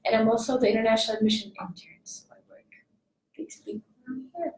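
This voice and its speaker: A woman's voice: on the high side, expressive, fast, darkish tone, recorded from a distance. Chatty young woman from Slovakia. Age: young adult. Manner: chatty, many fillers. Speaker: admissions intern. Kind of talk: student panel chat. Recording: echoey room, off-mic.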